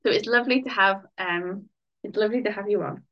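A woman's voice: mid-range voice, fast. A woman hosting a podcast interview in a chatty, upbeat way, dropping in fillers like "like.". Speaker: podcast host, life coach. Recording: muffled lo-fi audio.

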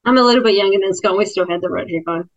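A woman from New Zealand with a New Zealand accent, giving thoughtful, measured answers as a program participant. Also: mid-range voice. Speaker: program participant, former lawyer. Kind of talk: reflective interview answers. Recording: muffled lo-fi audio.

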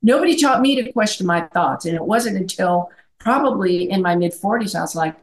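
A woman's voice: medium pitch, animated, a little fast. A middle-aged woman who works as a life coach, talking earnestly over a video call and often checking in with "right?". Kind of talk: conversational video-call interview.

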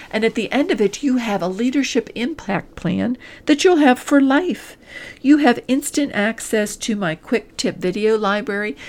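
Woman coach giving an earnest, deliberate pitch. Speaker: executive coach and podcast host. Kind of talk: scripted promotional pitch addressed to the listener.

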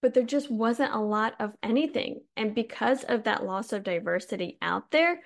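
A woman hosting a podcast, explaining land management in a chatty, informal way with her voice slightly hoarse. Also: on the high side, lively intonation, normal speed. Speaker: podcast host. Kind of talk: casual educational talk on forestry.

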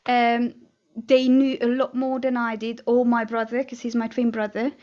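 A woman from Hungary, a guest telling her story in a casual interview, earnest and dotted with fillers and soft laughs. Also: a little high, flat delivery, moderate pace, lo-fi sound. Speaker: guest, NLP trainer and hypnotherapist.

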